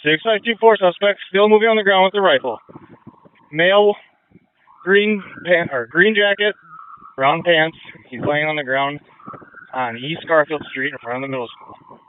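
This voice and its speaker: A man's voice: a little high, moderate pace, pauses often, clean tone. A male police officer giving terse, urgent field reports over a handheld radio. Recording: tinny police radio, cutting out.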